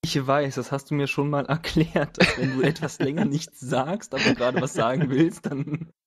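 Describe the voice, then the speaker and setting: A man's voice: mid-range voice, steady tone, moderate pace, breathy edge. A man chatting casually during a tabletop role-playing session, colloquial and joking in his way of talking.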